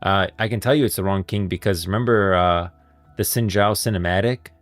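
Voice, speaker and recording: A man's voice: low-pitched, a little fast, dry recording. A man hosting a livestream with casual lore commentary, rambling emphatically and repeating his points. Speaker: livestream host. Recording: music playing behind. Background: background music.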